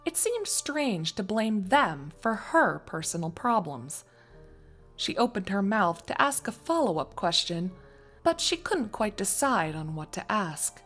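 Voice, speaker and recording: A woman reading scripted story narration in an expressive, wry storytelling manner. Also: mid-range voice, a little slow, faint music behind. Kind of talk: scripted fan fiction narration.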